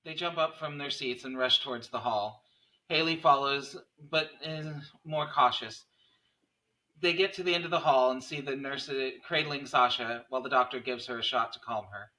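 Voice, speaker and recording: A man's voice: medium pitch, normal speed, pushed and bright, low-quality recording. A man reading scene headings and stage directions as narrator at a horror script table read.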